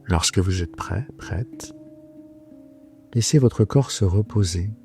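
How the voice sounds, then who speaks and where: A man leading a guided relaxation for sleep as a yoga nidra guide, speaking gently and soothingly with hesitant pauses. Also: lowish voice, expressive. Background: soft plucked guitar music.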